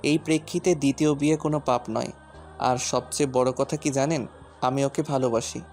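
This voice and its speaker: Man acting fierce husband, flat hard voice. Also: medium pitch, fairly quick, lightly acted. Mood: defiant. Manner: hard, commanding, threatening. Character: fierce, stubborn, protective husband in a rage. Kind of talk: dramatised audio story acting. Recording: music bed under the voice.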